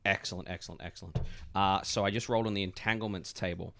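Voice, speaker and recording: Low-pitched man as halting game master. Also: fairly even intonation, fairly bright tone, slight background noise. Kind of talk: running a tabletop roleplaying game.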